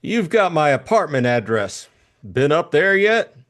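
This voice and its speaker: A man voicing a tough, cynical private detective in a crime audiobook, blunt, slangy and needling, with crisp delivery. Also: on the high side, big pitch swings, a little slow, a bit bright, pitched above the performer's own voice. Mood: hard, needling.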